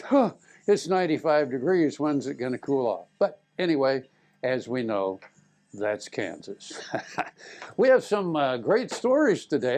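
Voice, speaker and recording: American guy hosting a local show, chatty and folksy, average pitched voice. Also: a little mellow. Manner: folksy, conversational. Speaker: TV show host and internet radio DJ. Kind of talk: casual chatty show monologue. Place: radio studio.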